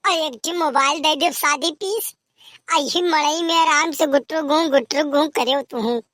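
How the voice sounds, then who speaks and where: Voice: high voice, measured pace, sharp tone, no echo, clean studio sound. A performer voicing a cheeky, scheming village lad in an Awadhi comedy cartoon, with an Awadhi accent and a teasing, argumentative manner. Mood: coaxing.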